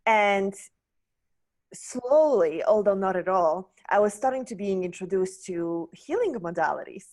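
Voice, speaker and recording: A woman's voice: medium pitch, animated, measured pace, a little mellow. A woman from Poland telling her personal story as a podcast guest, candid and searching, often restarting her sentences.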